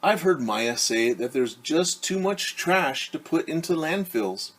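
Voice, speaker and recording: A man's voice: fairly high pitch, expressive, unhurried, a bit bright, husky. A middle-aged man, a teacher, reading a picture book aloud to children and explaining things simply. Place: small room at home.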